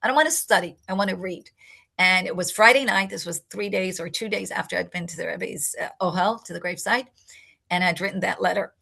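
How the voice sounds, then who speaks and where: A woman's voice: on the low side, a little fast, creaky, pushed and bright, breathy edge. An older woman, a native English speaker, recounting a personal story in an interview, reflective and emotional. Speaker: interview guest.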